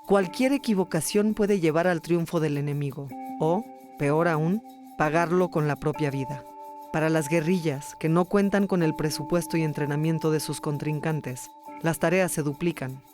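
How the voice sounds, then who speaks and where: A woman's voice: sits low, normal speed. A woman hosting and narrating a documentary podcast in a scripted storytelling style. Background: music under the voice.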